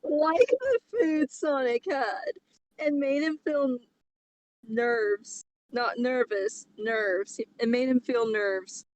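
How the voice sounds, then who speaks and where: Slow, high-voiced woman, casual and teasing. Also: lively intonation, a little mellow, muffled recording. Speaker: podcast co-host reading a fan fiction aloud. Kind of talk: casual chat and comic read-aloud.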